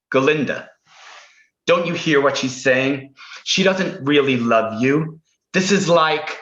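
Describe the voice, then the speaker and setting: Actor as a bossy author lecturing his character; slow, slightly high, roomy dull recording. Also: a bit bright. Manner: exasperated, lecturing. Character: controlling male novelist arguing with his own character. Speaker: improv performer. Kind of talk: improvised stage comedy.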